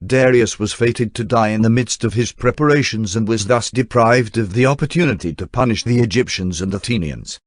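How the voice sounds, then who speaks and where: A man reading a historical account aloud in a measured, storytelling documentary narration. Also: mid-range voice, dry recording, clean studio sound. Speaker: reader of historical text.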